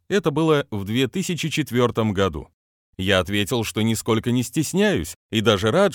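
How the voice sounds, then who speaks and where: Man with non-native pronunciation, lively delivery. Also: mid-range voice, dry recording, clean studio sound. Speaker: business trainer and author. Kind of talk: reading his own self-help book aloud.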